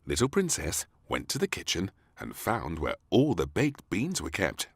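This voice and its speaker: A man narrating a scripted children's story in an expressive, deliberate storytelling manner. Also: deep voice, husky, dry recording, studio quality.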